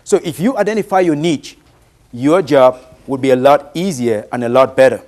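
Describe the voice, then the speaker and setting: A man in his late thirties with a Cameroonian accent, a native speaker of a Bantu language, presenting to an audience in a deliberate, emphatic way. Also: mid-range voice, darkish tone. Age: late 30s. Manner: deliberate, emphatic, uses rhetorical questions.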